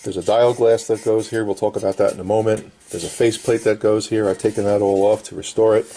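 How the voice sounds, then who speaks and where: Middle-aged male restorer, slightly low voice, casual plain-spoken bench demo, faintly noisy camera sound. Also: flat delivery, quick pace, mellow. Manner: plain, short repetitive explanatory phrases. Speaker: vintage radio restorer and presenter. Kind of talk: casual hands-on repair demonstration. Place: indoor workbench.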